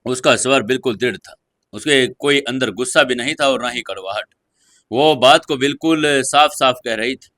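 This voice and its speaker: A man's voice: mid-range voice, moderate pace, fairly bright tone, dry recording. A man narrating a scripted audio story in a dramatic storytelling style, close on the mic.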